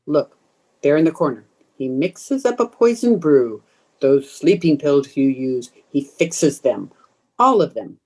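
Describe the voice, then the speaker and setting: An old vampire woman of a radio horror play, played by a woman, bloodthirsty and commanding. Her dark voice drags slowly and insistently, with wide swings in pitch. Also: big pitch swings, exaggerated voice, rough recording. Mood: urgent, commanding. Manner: slow, insistent, incantatory.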